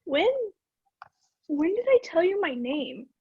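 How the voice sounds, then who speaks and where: Woman as a very high-voiced, incredulous young girl. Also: sing-song, slow pace, dark tone, highs cut off, full character voice. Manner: incredulous, pressing with questions. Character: indignant, bewildered runaway girl, somebody's little sister. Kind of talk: amateur stage play acting.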